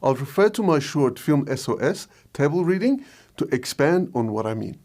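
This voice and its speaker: A man's voice: on the high side, sing-song, normal speed, background noise. A man presenting a scripted explainer as a video host and filmmaker. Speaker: video host, short film director.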